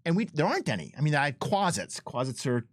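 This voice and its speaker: A man's voice: on the high side, sing-song, fast talker, no room sound, clean studio sound. A man speaking casually and unscripted as a game developer, emphatic and often repeating and restarting his phrases. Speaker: game developer on a commentary stream. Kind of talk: casual unscripted conversation about game design.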